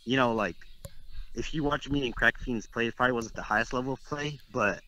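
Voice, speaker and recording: A young man from Texas trash-talks his rivals, boastful and loose, filling every pause with "like." His voice is bright and forceful at a middle pitch. He rambles with easy confidence. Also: low-quality recording. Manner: brash, boastful, rambling with many "like"s. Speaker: interviewed competitive fighting game player. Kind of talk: casual trash talk interview.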